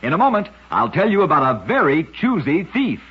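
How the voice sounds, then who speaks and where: A man hosting an old-time radio program, narrating short scripted oddities in a husky, measured, dramatic announcer's delivery. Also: on the high side, huge pitch swings. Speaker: radio host and storyteller. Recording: muffled, dull old radio recording.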